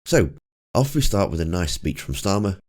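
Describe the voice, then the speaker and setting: Croaky deep-voiced man. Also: big pitch swings, normal speed, a little mellow. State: hoarse from a cold. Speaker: political commentator. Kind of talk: casual commentary over clips.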